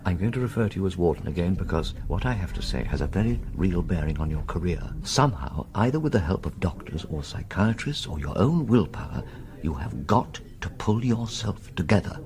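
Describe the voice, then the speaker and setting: A low, very animated man in a radio play, a worried, exasperated friend. He is middle-aged and blunt, pressing his points emphatically and repeating them, with faint noise behind. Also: brisk. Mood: urgent, grave. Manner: urgent, emphatic, repeats himself. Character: concerned, exasperated senior college friend, blunt and insistent. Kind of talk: radio drama acting.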